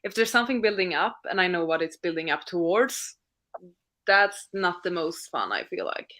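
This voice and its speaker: A woman's voice: fairly low pitch, lively intonation, moderate pace, pushed and bright. A woman co-hosting a live stream, talking in a casual, conversational way.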